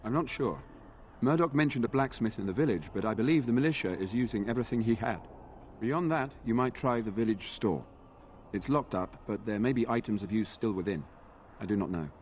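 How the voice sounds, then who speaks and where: An actor playing an earnest, dutiful knight in a fantasy video game, speaking formally and courteously. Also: male voice, on the low side, animated, fairly quick, darkish tone, slight background noise, natural voice. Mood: grave, informative. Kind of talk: video game dialogue acting.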